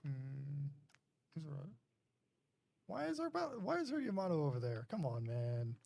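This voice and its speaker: A man from Missouri co-hosting a game stream, making relaxed casual remarks while playing. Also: a little high, unhurried, gravelly. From Missouri, USA. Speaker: stream co-host. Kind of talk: casual chat while gaming.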